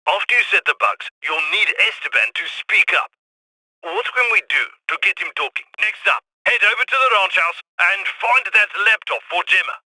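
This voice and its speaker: A brisk, bright heist coordinator. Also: male voice, sits high, expressive, no room sound, exaggerated voice, low-quality recording. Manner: brisk, instructing, wry. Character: male heist planner on comms, brisk and cocky. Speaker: mission coordinator. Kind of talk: video game voice lines.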